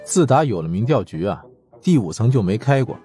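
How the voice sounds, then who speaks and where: A man voicing a brusque, world-weary department director in an audiobook, husky and curt, with a dismissive grumble. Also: medium pitch, big pitch swings, brisk, dark tone, soft background music, pitched above the performer's own voice, lightly acted. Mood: matter-of-fact.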